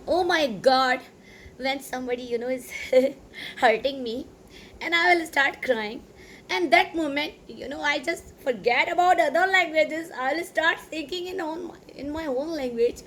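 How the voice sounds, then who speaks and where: Woman, Sindhi-Pakistani English accent, native Sindhi. Rambling, emphatic teacher talk to camera. Slightly high voice, faint background noise. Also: unhurried, a bit bright. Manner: rambling, full of "you know" and fillers, emphatic.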